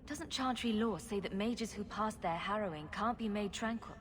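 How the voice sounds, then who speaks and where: An actress playing a bold, wry, take-charge adventurer in a fantasy video game. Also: female voice, mid-range voice, normal speed, slight background noise, close to an everyday voice. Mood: questioning. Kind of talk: video game dialogue acting. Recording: clear music under the voice.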